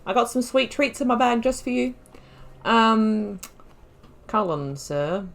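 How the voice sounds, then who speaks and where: A woman's voice: a little high, lively intonation, slow pace, creaky. A woman giving let's-play commentary, musing aloud in a chatty way and laughing often. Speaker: YouTuber playing a video game.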